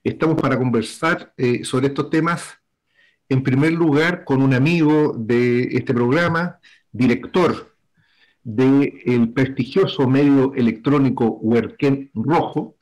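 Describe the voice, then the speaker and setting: A man's voice: mid-range voice, takes it slowly, a little mellow, low-quality recording. A middle-aged man hosting a political talk show from home on a video call with a headset, giving formal, wordy introductions full of fillers. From Chile. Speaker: host. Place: home room with bookshelves.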